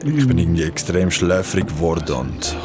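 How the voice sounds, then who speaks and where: A man's voice: sits low, lively intonation, moderate pace. An actor playing a shaken young man with Swiss German speech, confiding his mountain ordeal in slangy radio play narration. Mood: confiding, uneasy. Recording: loud music behind the voice. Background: music.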